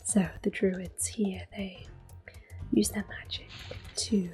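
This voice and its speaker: A woman softly whispering gentle, unhurried ASMR commentary over a video game, with a breathy, hushed voice. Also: medium pitch, flat delivery. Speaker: gameplay host. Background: game music.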